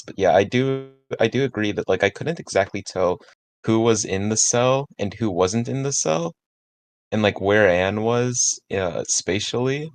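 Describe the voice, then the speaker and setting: A man giving casual feedback on a script in a halting way, often repeating and restarting his words. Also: fairly low pitch.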